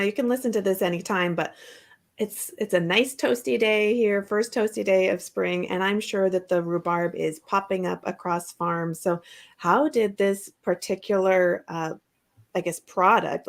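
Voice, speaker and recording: A woman's voice: lowish voice, moderate pace, gravelly. A woman hosting a podcast, reading a scripted welcome and then chatting with her guests in a friendly, conversational way. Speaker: podcast host.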